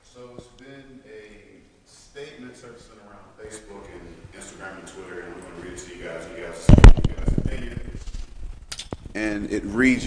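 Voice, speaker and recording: Guy reading a statement out, kinda low, halting, super echoey noisy room. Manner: measured, halting, repeats words.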